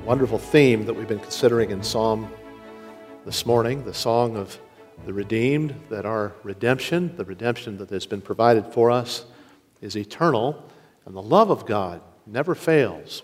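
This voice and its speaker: A man preaching a sermon as pastor, earnest, slowing down to stress key words. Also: fairly low pitch, soft background music. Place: church sanctuary. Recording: long reverb of a large hall.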